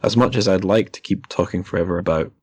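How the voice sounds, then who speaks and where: A man's voice: deep voice, fast, no echo. A man hosting a podcast, talking casually in a literary interview. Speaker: podcast host.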